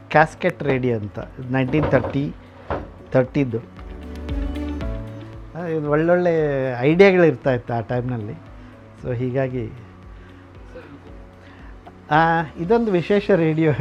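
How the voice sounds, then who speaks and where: A man talks in an informal, explanatory way, like a collector showing visitors around. His mid-pitched speech comes in halting stretches with pauses and some English terms. The recording is a little dull and lo-fi, with music playing behind him. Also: darkish tone. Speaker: vintage radio collector and restorer. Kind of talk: informal explanatory museum tour to camera. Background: background music.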